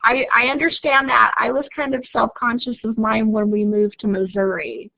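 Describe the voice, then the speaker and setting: A woman's voice: fairly high pitch, steady tone, moderate pace, gravelly, no room sound, phone-quality sound. A woman with an Oklahoma accent giving a chatty live online lecture as a college instructor, reading out and answering student comments. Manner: chatty, reads out student comments, laughs easily.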